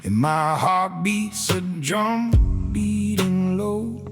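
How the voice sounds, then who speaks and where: Slow-singing woman over guitar. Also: mid-range voice. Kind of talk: sung lyrics over music. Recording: song with clear guitar backing.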